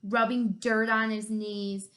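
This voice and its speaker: A woman co-reading a children's story, narrating in an expressive, measured way. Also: mid-range voice. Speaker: co-reader of the story.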